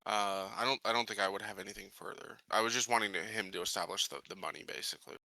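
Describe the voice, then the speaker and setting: Very bright-voiced man, hesitant and casual. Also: fairly low pitch, fairly even intonation, quick pace, creaky, no echo. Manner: hesitant, thinking aloud. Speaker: tabletop game player. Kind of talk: casual improvised roleplay and table talk.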